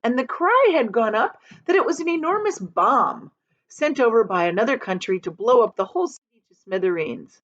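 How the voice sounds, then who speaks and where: A woman reading a children's book aloud like a teacher, expressive and dramatic, pausing to explain things to young listeners. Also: fairly high pitch, muffled recording. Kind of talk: animated storytime read-aloud with explanatory asides.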